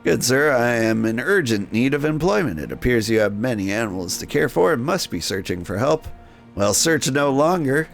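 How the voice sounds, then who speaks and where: A man's voice: mid-range voice, big pitch swings, normal speed, vocal fry, soft background music. A man voicing a polite, naive robot in a video game, speaking in formal, precise wording. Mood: earnest. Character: polite, naive, literal-minded robot seeking work. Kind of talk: character voice in a game playthrough.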